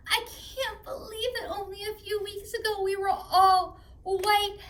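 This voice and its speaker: An excitable, childlike girl character from a children's show. The voice sits extremely high and takes its time. She gushes and exclaims to her young audience. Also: unhurried. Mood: overjoyed, amazed. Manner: gushing, exclamatory, addressing young viewers.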